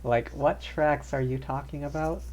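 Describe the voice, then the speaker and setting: A man's voice: medium pitch, fairly even intonation, unhurried, a little mellow, dull audio, slight background noise. A man chatting casually as he gives live commentary on a video game stream. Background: game music.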